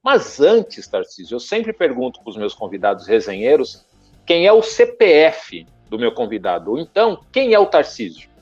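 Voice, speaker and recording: Lively male talk show host, dry sound. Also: moderate pace, dry recording, dull audio, soft background music. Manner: lively, pitch jumps up when asking questions. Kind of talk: casual interview chat.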